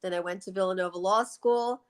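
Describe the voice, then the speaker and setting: A woman's voice: medium pitch, fairly quick, clear, resonant tone. Woman in her sixties, from the Philadelphia Main Line, co-host, casual chat, reflective, laughs often. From Philadelphia Main Line, USA. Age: 60s. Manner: reflective, laughs often, repeats words while thinking.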